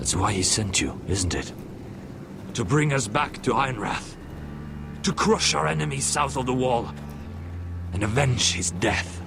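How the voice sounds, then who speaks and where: An actor playing a grave young northern warrior, measured and worried as he speaks. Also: medium pitch, animated, gravelly, background noise. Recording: game audio with music score.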